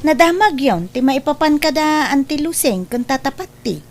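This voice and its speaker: An actress playing a gossipy, eager daughter in a comedy drama. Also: female voice, sits high, lively intonation, moderate pace, darkish tone, faint music behind. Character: gossipy, eager daughter telling tales to mother. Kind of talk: Ilocano comedy drama acting.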